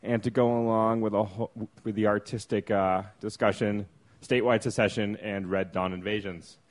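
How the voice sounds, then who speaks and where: A man with a fairly low voice hosts a podcast in a relaxed, chatty way. He drifts through his points with easy uh fillers, sounding unhurried. He is recorded on a podcast mic in a room inside a clock tower. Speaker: podcast host. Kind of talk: casual podcast chat.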